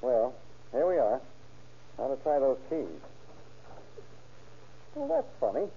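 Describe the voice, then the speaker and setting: A man's voice: medium pitch, huge pitch swings, slow, husky, full character voice. An actor in a 1930s radio drama playing a wary informant posing as an easygoing drug middleman. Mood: uneasy. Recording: tinny, noisy old-time radio recording.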